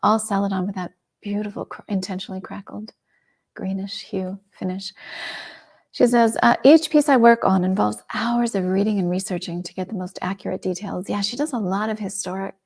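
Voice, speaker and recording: A middle-aged woman hosts a shopping livestream in a casual, enthusiastic way. Her voice sits at a middle pitch with a noticeable gravelly fry, and she slips into soft, near-whispered asides. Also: fairly even intonation, fairly quick. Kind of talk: casual product presentation.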